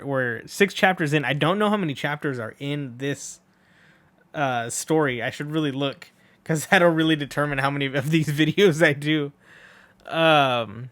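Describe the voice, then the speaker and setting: Male fan vlogger, fairly high voice, informal, enthusiastic, rambling delivery, dry recording. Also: a bit bright, no echo. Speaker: video host and read-along performer. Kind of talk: casual chat to viewers.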